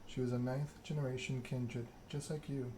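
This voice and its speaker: A man voicing a proud, scheming vampire in a measured, self-important monologue, read aloud in an ASMR style from a game. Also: medium pitch, level tone, mellow, slight background noise, natural voice, rough recording. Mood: bitter, resolute. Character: proud, scheming vampire aristocrat telling their story.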